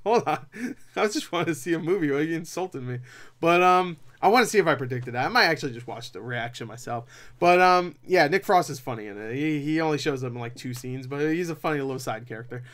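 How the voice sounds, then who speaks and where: A young man reviews movies in a voice pitched a little above average. He talks casually and without a script, rambling along with animated asides and a self-deprecating streak. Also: fast talker. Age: young adult. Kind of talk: casual unscripted review chat. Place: small cluttered room at home, indoors.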